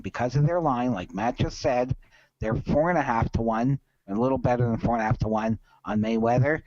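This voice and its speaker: Male sports betting podcast host, mid-pitched, emphatic and opinionated, slightly lo-fi sound. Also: a little mellow, no echo. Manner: emphatic, opinionated, repeats points for stress. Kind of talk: casual betting commentary.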